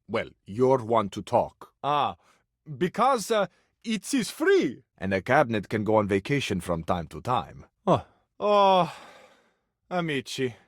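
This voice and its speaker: A man's voice: medium pitch, no echo, studio quality. A man voicing a passionate, suave Italian cabinet for a video game, with florid, theatrical delivery and an Italian accent. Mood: theatrical, evasive. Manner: florid, dramatic, lingering on words. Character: passionate, melodramatic, romantic Italian cabinet, male, suave dreamer.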